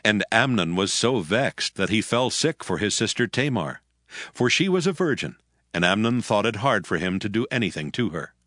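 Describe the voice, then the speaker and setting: Low-pitched man narrating scripture solemnly. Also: sing-song, normal speed, a bit bright, dry recording, studio quality.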